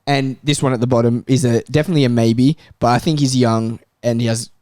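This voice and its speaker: A man's voice: mid-range voice, fairly even intonation, a little fast, warm tone, no echo, studio quality. A man arguing his opinion in casual, informal chat as a football panellist.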